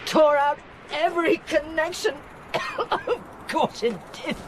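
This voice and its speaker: A woman's voice: high voice, takes it slowly, background noise. An actress in a radio drama playing a desperate, stubborn mother and doctor, urgent, emotional and defiant.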